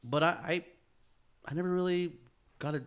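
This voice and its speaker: A man's voice: on the high side, fairly even intonation, normal speed, clear, resonant tone. A man hosting a podcast in casual, crude comedic banter, slangy and profane, often repeating short words as he goes. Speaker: podcast host.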